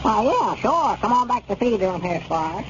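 A flustered old country storekeeper from the Arkansas hills, talking in a thin, high, whiny drawl. The voice sits well above most men's. It comes through a muffled 1930s radio broadcast with a faint hiss. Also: male voice, big pitch swings, normal speed. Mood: meek. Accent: rural Arkansas. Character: fretful old rural storekeeper, timid and flustered. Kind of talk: 1930s radio comedy acting.

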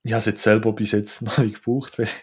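Swiss German host, low voice, easygoing questions, laughs a lot, hesitant fillers. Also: male voice, level tone, fast talker, darkish tone, slightly rough. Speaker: podcast host. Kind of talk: casual conversational interview.

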